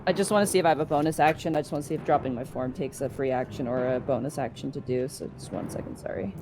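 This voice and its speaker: A woman's voice: extremely low pitch, rapid-fire. A woman talking casually as a player at a tabletop role-playing game. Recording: clear music under the voice. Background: music.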